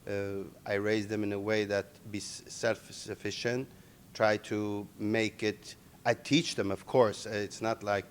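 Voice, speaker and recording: Man, middle-aged, low-pitched, halting interview answers. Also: level tone, slight background noise. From Iran. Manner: halting non-native English with many restarts. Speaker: interviewee, MMA organization owner.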